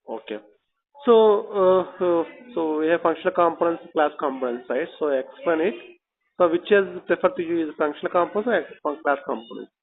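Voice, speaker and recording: A man's voice: on the high side, a little fast. A man with an Indian accent conducting a technical job interview by telephone, pressing with probing, repeated questions. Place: phone call. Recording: tinny narrowband telephone line.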